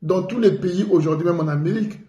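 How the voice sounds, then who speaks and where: A man from the Congo delivers an emphatic, indignant radio commentary. His voice is warm and dark and sits a little higher than most men's. The room around him rings with echo. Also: fast, lo-fi sound. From Republic of the Congo. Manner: indignant, emphatic, repeats for stress. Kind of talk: political commentary monologue. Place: living in France.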